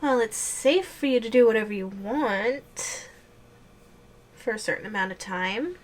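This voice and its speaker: A woman's voice: a little high, expressive, unhurried. A woman playing a teasing, possessive giantess girlfriend in an ASMR audio roleplay, speaking playfully with hums and sighs.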